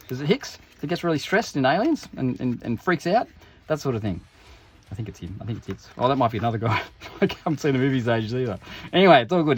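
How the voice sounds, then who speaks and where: A man's voice: mid-range voice, husky. A man hosting an unboxing video with casual, informal commentary, full of jokey asides and self-interruptions. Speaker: host of tabletop game unboxing videos.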